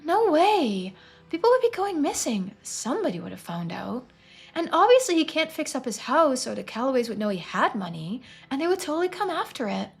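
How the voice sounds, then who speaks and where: A woman voicing an excitable, chatty young woman who questions everything incredulously, as a character in a story game. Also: high voice, a little fast, vocal fry, voice pushed up, full character voice.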